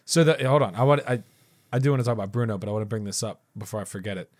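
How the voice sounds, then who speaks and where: A man's voice: fairly low pitch, racing, darkish tone, dry recording, clean studio sound. A man co-hosting a casual movie review podcast, curious and teasing in conversation. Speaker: podcast co-host. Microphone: podcast mic.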